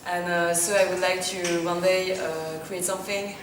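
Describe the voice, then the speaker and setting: A woman's voice: lowish voice, steady tone, normal speed. A woman from Belgium, a student, introducing herself in simple, hesitant English. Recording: echoey room, far mic, noise.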